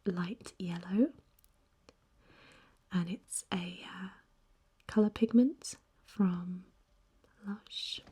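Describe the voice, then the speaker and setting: A young woman talks in a breathy near-whisper, unhurried and halting. The tone is mellow and gentle, with a slight croak from being hoarse. It sounds like a close-up, soft-spoken ASMR makeup chat. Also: on the low side. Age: young adult. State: slightly hoarse after illness. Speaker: ASMR vlogger.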